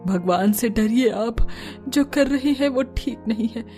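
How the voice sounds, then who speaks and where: Woman playing young daughter-in-law, cartoon drama, high pitch, soft mellow tone, plaintive, worried. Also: measured pace, faint music behind, lightly acted. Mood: pleading. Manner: earnest, plaintive.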